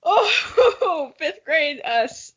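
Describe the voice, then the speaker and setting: A woman's voice: very high-pitched, very animated, extremely slow, fairly bright tone. A young woman reading a story dramatically and chatting casually about it, with a giggly, playful, self-mocking manner. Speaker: podcast co-host. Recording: dull lo-fi audio.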